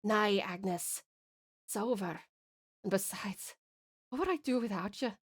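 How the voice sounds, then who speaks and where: A woman's voice: a little high, lively intonation, moderate pace, a bit bright. A woman voicing a dying, battle-weary woman in a storybook tale, wry and resigned, with an attempted Scottish accent and blunt old-fashioned speech. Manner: archaic dialect, weary and blunt.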